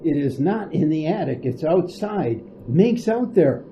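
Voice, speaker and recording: A man's voice: a little high, lively intonation, measured pace, noisy background. A man playing a bewildered, exasperated husband in an old-time radio drama, emphatic and insistent.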